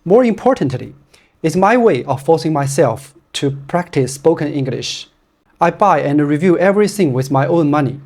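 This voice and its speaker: Brief: male non-native English speaker, Chinese accent, scripted gear reviewer, steady and direct. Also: on the high side, normal speed, soft background music. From China. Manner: plain, straightforward, steady delivery. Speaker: running shoe reviewer.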